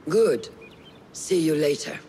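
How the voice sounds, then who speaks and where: An actor playing a stern, wise master of hidden assassins in a video game, with a measured, instructive manner. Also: male voice, a little high, lively intonation, mellow, slight background noise. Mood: calm, admonishing. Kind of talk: dramatic video game acting.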